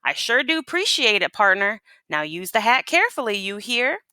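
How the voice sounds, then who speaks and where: A woman voicing a fast-talking male coyote in a Western storybook, persuasive, with a folksy cowboy drawl. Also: medium pitch, very animated, pushed and bright, no echo. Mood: wheedling.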